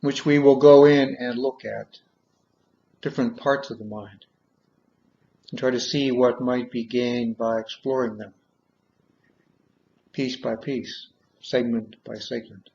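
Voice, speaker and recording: A man guiding a meditation in measured short phrases with long pauses, on a dull, muffled recording. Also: mid-range voice, fairly even intonation, gravelly, a little mellow. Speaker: guided meditation leader. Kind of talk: guided meditation, slow soothing instruction.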